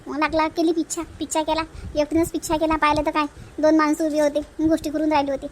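A woman's voice: high voice, completely flat delivery, fast, a little hiss or hum, lightly acted. A woman voicing a bossy but caring village woman in a family comedy cartoon, emphatic, with a Vidarbha Marathi accent. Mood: excited. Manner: chiding, reassuring, emphatic.

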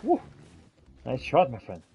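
A man's voice: mid-range voice, huge pitch swings, measured pace, gravelly, lo-fi sound, slight background noise. A man doing in-character gameplay commentary, exclaiming and talking to himself and his game companions. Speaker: gamer playing in character.

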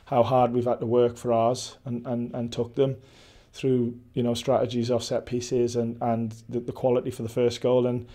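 A Welsh man in his forties, a football head coach, giving a measured post-match interview with many restarts and fillers. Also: mid-range voice, completely flat delivery, mellow. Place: indoor press backdrop at a stadium.

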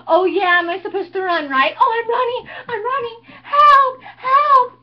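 A woman's voice: very high voice, brisk, pitched above the performer's own voice. A woman acting out a snobbish teen cheerleader who sarcastically mocks a killer, in an amateur monologue recorded dull and lo-fi. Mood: mock panic. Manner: sarcastic, bratty put-on theatrics. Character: snobbish teen girl cheerleader, mocking and haughty.

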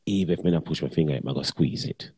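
A middle-aged man with a very deep, dark, husky voice talks bluntly and crudely in patois. The sound is dry and close. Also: quick pace, no echo. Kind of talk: casual chat.

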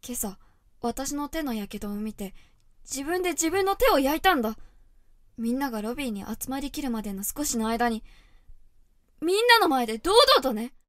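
A woman's voice: sits high, big pitch swings, normal speed, dry recording, clean studio sound. A woman voicing an anguished young werewolf girl in a voice drama, resolute but emotional. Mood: brooding, hushed. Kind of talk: Japanese voice drama acting.

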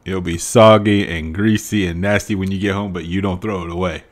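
Man, middle-aged, Southern American accent, lowish voice, casual chatty reaction host, emphatic, laughs a lot, studio mic in home room. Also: fairly even intonation, a little fast. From Southern United States. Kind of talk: casual commentary. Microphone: studio mic on arm, wearing headphones.

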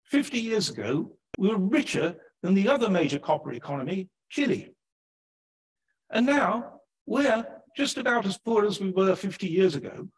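Older english guy lecturing at a podium, bouncy high-ish voice, bit husky, keeps saying right?. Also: poor audio. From England, Sheffield. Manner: animated, chatty, frequent "right?" tags. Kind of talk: public lecture. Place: lecture hall, standing at a lectern. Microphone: lectern microphone.

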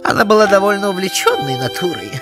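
An actress playing a hearty, nostalgic older owl in a cartoon, chatty and theatrical with plenty of sighs. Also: female voice, mid-range voice, expressive, fast, over music, lightly acted. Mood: nostalgic, wry.

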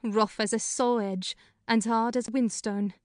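A man's voice: high voice, fairly even intonation, darkish tone, dry recording, studio quality, voice pushed up. A man voicing a talkative middle-aged female housekeeper in a plain, frank way in a storybook reading. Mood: chatty. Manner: brisk, talkative, plain-spoken. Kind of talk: audiobook character voice.

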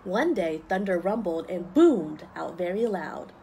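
A young woman reading picture books aloud to children, expressive and explaining things simply as she goes. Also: fairly low pitch, a little slow, gravelly, slight background noise. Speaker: story time reader for children. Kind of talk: children's picture book read-aloud with asides. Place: outdoors on a wooden deck among trees.

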